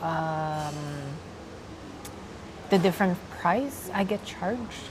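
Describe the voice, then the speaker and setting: Young filipina woman chatting soft and halting, noisy background. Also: lowish voice. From Philippines. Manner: soft-spoken, hesitant with many pauses, laughs often. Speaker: interviewee. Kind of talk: casual interview chat.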